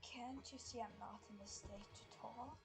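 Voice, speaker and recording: A woman voicing a scheming female cat who leads a clan and feigns innocence in a halting, dramatic way, in an online roleplay game. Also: fairly high pitch, steady tone, faint music behind. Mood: confused, shaken.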